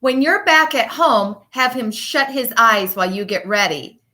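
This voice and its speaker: A woman with a fairly high, strikingly bright voice reads aloud for young pupils. She speaks as a teacher would, expressively and emphatically, shaping phrases with deliberate pauses. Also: lively intonation. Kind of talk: children's picture book read-aloud and chat to pupils.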